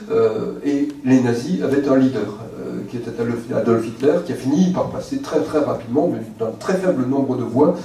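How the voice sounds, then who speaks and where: A man giving a measured lecture to an audience, often pausing on hesitant fillers. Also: medium pitch, dark tone. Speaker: guest speaker. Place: lecture hall. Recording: very echoey hall, mic far off.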